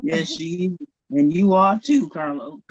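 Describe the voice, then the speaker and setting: A man's voice: a little high, expressive, measured pace, no room sound, lo-fi sound. A man chiming in as a guest on a casual video chat and affirming the host's stories.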